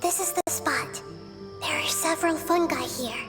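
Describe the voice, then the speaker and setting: A woman voicing a small, wise young goddess girl who speaks softly and thoughtfully. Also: high voice, a little slow. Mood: calm. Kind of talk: video game voice acting. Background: game music.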